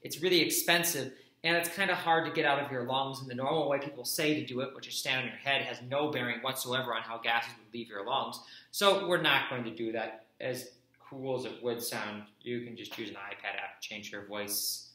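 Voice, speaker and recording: A man teaching a chemistry lecture, brisk and explanatory with casual asides. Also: medium pitch, steady tone, bright, forceful tone. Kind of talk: recorded classroom lecture. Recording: echoey room.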